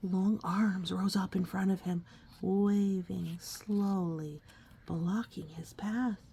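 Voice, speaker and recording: A woman reading a picture book aloud to a young child, expressive and coaxing, often dropping into a breathy whisper and adding chatty asides. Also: mid-range voice, takes it slowly. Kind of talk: storybook read-aloud with conversational asides to a child.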